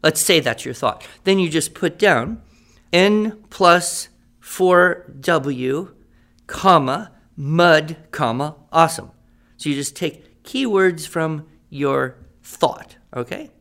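A man's voice: fairly high pitch, expressive, slow pace, fairly bright tone, full character voice. A man playing a patient writing teacher in a radio drama, explaining step by step and checking that students follow. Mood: instructive. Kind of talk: improvised-sounding classroom teaching in a radio drama.

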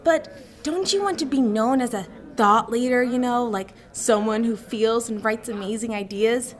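A woman's voice: a little high, normal speed, soft background music, natural voice. Woman playing a gushing dreamy teen girl. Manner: gushing, says "like" and "you know" often. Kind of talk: radio drama acting.

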